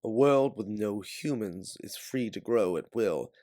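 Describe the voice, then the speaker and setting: A man's voice: on the low side, animated, measured pace, dark tone, no echo, clean studio sound, voice pushed up. A man voicing a mysterious raider who is eager to help as a guide, earnest and persuasive, in an audiobook. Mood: knowing, persuasive. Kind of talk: audiobook character voice.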